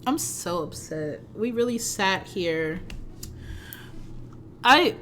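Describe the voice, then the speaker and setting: A woman streamer reading a story game aloud and reacting to it in an excitable, flustered way, often giggling. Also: medium pitch, takes it slowly, a little hiss or hum.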